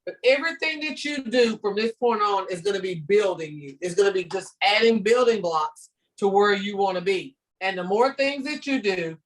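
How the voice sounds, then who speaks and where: An older woman from the southern United States with a Southern accent, a teacher talking to students on a video call in a lively, storytelling and encouraging manner. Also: medium pitch, normal speed, hardly any pauses, distant mic, rough recording. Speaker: ESL teacher guest. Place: home room with bookshelves.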